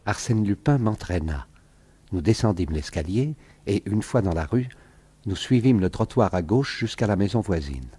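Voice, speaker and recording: An actor playing a narrator who recounts his own adventure, in scripted literary radio-drama narration with a dark, husky voice. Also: lowish voice, animated, normal speed. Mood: measured, storytelling.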